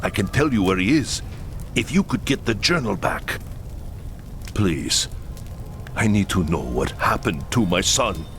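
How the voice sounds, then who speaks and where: An actor playing a proud, solemn leader of a war-torn camp in a fantasy game cutscene, speaking earnestly with music behind. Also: male voice, lowish voice, lively intonation, unhurried, long pauses, a bit bright. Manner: earnest and solemn, pleading at times. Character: grave, proud Kislevite camp leader, middle-aged man. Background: orchestral game music.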